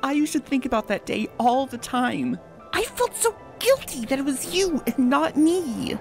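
Woman voicing a high, guilt-ridden pony friend. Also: sing-song, moderate pace, faint music behind. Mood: guilty, earnest. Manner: earnest, apologetic. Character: guilt-ridden, gentle, pleading female unicorn pony friend. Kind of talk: comic dub character acting.

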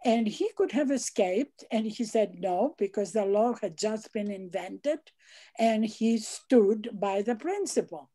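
An older woman who grew up in Greece speaks earnestly on a video call, her voice warm and mellow. She pushes her points with rising emphasis, heard through a headset from a book-lined home study. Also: medium pitch, lively intonation, measured pace. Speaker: psychiatrist commenting in Q&A. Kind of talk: online seminar comment.

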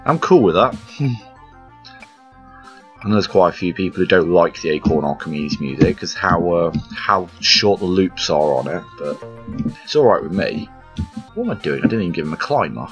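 A man casually commentating on a puzzle game as he plays, rambling and poking fun at himself, his voice croaky today. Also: deep voice, lively intonation, normal speed. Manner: rambling, self-deprecating, chuckles often. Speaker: gameplay commentator. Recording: slightly dull lo-fi recording with game music behind.

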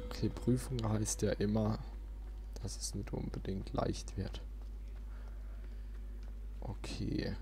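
A man's voice: lowish voice, steady tone, slow pace, gravelly, pauses often. A young man hosting a let's play with casual gameplay commentary. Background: video game sound.

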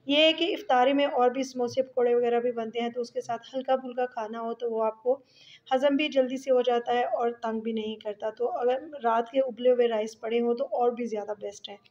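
Chatty Pakistani woman host, slightly high, dull home recording, step-by-step kitchen narration. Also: fairly even intonation, a little fast, a little mellow. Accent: Pakistani Urdu. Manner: chatty, explaining step by step with fillers. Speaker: cooking channel host. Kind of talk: home cooking tutorial voice-over.

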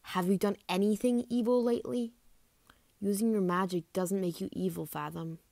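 A woman's voice: medium pitch, steady tone, normal speed, vocal fry, a little mellow, dry recording, natural voice. A woman voicing a blunt young female dragon who is exasperated and emphatically scolding. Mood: exasperated, reassuring.

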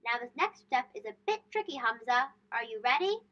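A storybook big brother, acted out by a woman, teaches in a high, animated voice. He is patient yet firm and puts emphasis on his instructions. The recording is a bit dull. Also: a little fast. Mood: instructing. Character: older brother, a boy, patient but stern teacher. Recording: dull lo-fi sound.

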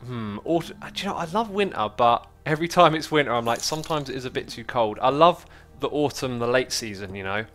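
A man's voice: mid-range voice, animated, a little fast, few pauses, a bit bright, faint music behind. British man in easygoing livestream chat.

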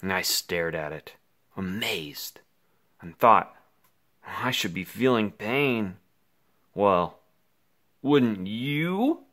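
A man reading a rhyming children's story aloud theatrically, with dramatic pauses, as an anxious, melodramatic five-year-old boy. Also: on the low side, expressive, a bit bright. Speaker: picture book reader.

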